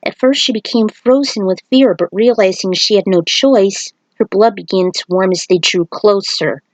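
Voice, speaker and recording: A woman's voice: medium pitch, moderate pace, dry recording, low-quality recording. A woman narrating a fan fiction story.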